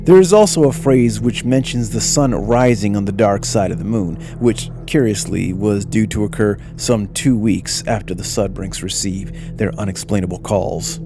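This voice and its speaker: A man's voice: fairly low pitch, normal speed, few pauses, music in the background. A man reading scripted narration for a mystery documentary.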